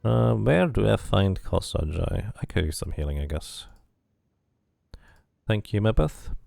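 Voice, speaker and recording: A man with a low voice and a noticeable creak streams a game. He moves between reading narration aloud and casual musing, with lively intonation. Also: measured pace, no echo. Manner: muses aloud while playing. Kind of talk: casual playthrough commentary and read-aloud narration.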